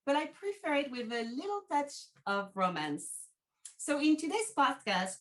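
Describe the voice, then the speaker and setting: A woman's voice: medium pitch, a bit bright. A middle-aged woman with a French accent, hosting and presenting a prepared travel video podcast. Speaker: podcast host, travel company team manager.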